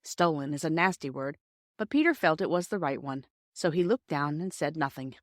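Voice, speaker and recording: A woman's voice: low-pitched, animated, moderate pace, no room sound, studio quality. A woman reading a storybook aloud as scripted narration. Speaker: audiobook reader.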